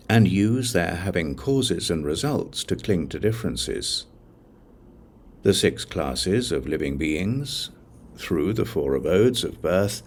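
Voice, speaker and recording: Deep male audiobook reader doing scripture, solemn n measured but pitch moves around a lot, bit of background noise. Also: a little mellow.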